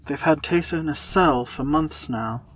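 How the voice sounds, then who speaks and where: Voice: mid-range voice, normal speed, husky, faint music behind, natural voice. A performer voicing an anxious, earnest younger man confiding in his lover, in an audio fiction drama. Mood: grave, urgent.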